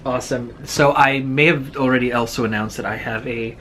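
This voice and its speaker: A man chatting casually about books in a slangy, wry, halting way, often doubling back on his words. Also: medium pitch, fairly even intonation, a bit bright, slight background noise. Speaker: co-host of a book vlog. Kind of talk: casual book chat.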